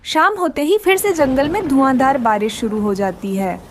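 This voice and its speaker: A woman's voice: high-pitched, normal speed, noisy background. A woman reading scripted narration for a children's cartoon story.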